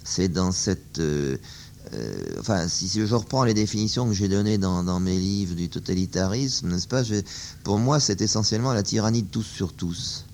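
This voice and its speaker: A man answering questions on political philosophy, slow and hesitant, often restarting his sentences. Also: deep voice, darkish tone, a little hiss or hum. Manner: hesitant, halting, many fillers and restarts. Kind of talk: spoken philosophical discussion.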